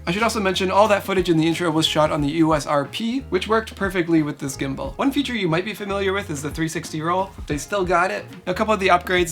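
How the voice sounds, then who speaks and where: A young man in his twenties talks fast and cheerfully to the camera, his voice a little nasal from a head cold. His fairly high voice has a gravelly rasp at the ends of his phrases. Soft music plays under him in a dark studio. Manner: quick, upbeat, chatty. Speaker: camera gear reviewer. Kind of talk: casual product review to camera. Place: dark indoor studio backdrop.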